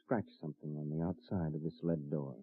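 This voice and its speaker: An actor in a 1950s radio drama, playing a cautious young husband who speaks in a hesitant, doubtful way. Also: male voice, very deep voice, fairly even intonation, fairly quick. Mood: tender. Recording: tinny, dry old radio broadcast.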